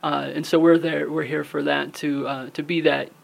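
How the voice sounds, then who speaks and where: Gravelly-voiced male interview guest. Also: a little high, moderate pace. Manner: earnest, steady explaining with frequent "uh" fillers. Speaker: guest, holistic wellness business owner. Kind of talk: radio interview answers.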